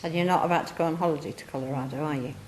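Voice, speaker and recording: A woman's voice: low voice, fairly even intonation, very fast, darkish tone, a little hiss or hum. A woman working as a medium, talking to a church audience in a chatty, coaxing way that circles back and repeats itself. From England. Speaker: spiritualist medium. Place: church hall.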